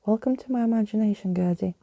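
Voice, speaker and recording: A woman's voice: medium pitch, fast talker, creaky, warm tone, natural voice. A woman voicing a kind, plucky young girl with an earnest, reassuring manner in a storybook.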